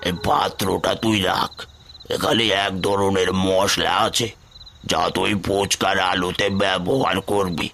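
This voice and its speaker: A man's voice: low-pitched, fairly even intonation, a little slow, gravelly, over music. A man playing a kindly old forest hermit in a cartoon, speaking like a fatherly adviser who gives blessings. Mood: benevolent, solemn. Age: older.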